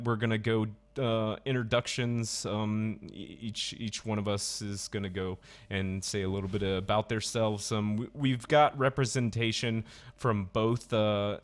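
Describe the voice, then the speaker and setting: A man hosting a casual voice chat stream, rambling with lots of fillers and restarts. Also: fairly low pitch. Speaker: stream host.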